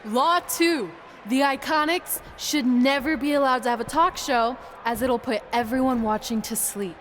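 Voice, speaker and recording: Fairly high-voiced, defiant woman wrestler. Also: normal speed, a bit bright, slight background noise. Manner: earnest, assertive. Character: determined, loyal, defiant young woman wrestler. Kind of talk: scripted game cutscene dialogue.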